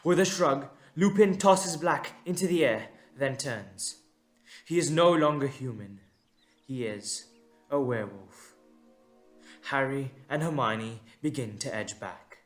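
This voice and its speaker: A man narrates a dramatic audio story with slow, measured suspense. His voice sits at a medium pitch, and he leaves deliberate pauses between phrases. Soft music plays behind him.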